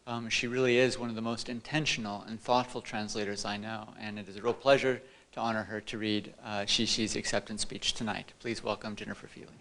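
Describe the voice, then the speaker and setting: A man presenting at an award ceremony, giving a semi-formal introduction with hesitant pauses. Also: fairly low pitch, little pitch movement, noisy background. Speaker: event presenter introducing a reader.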